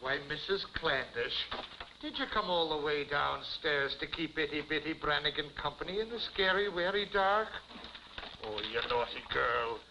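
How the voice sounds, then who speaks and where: An actor playing a blustering, pompous small-town police chief in 1950s television comedy style. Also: male voice, a little high, lively intonation, moderate pace, vocal fry. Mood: cooing, doting. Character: blustering, officious small-town male police chief, sweet-talking, cowardly. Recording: muffled, hissy 1950s television soundtrack.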